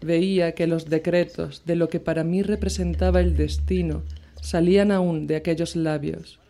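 A woman giving a slow, measured dramatic reading of a horror tale, with long pauses between phrases. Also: lowish voice, steady tone, mellow. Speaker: reader.

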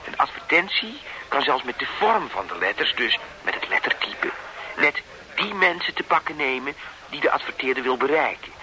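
A man's voice: medium pitch, big pitch swings, normal speed, noisy background, natural voice. Man playing a lecturing old-school policeman. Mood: explaining. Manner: explanatory, lecturing with tag questions. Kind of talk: radio drama acting.

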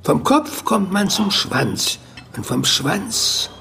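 Creaky, high-pitched old riddling man. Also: big pitch swings, pushed and bright, slight background noise, exaggerated voice. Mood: sly, cautioning. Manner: cryptic, proverbial, deliberate. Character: sly older Chinese-Cuban man teaching in riddles. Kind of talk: German radio drama acting.

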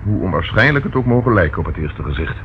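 A courteous, probing police inspector. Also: male voice, low voice, big pitch swings, fast. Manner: polite, probing, formal questioning. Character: courteous, persistent male police inspector on a case. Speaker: actor in a radio play. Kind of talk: Dutch radio drama acting. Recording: dull, faintly noisy old radio recording.

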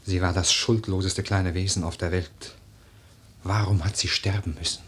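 Low-voiced grieving young nobleman. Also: fairly even intonation, normal speed, husky. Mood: mournful. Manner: earnest, solemn, formal. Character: grieving young nobleman of twenty-three, stubborn and earnest. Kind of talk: literary German radio drama acting.

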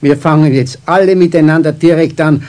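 A man's voice: a little high, fairly even intonation, a little fast, a little mellow, close to an everyday voice. A man playing a genial, proud old grandfather with a Bavarian accent in a children's radio play, chatty and jovial in a colloquial way. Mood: grateful, relieved.

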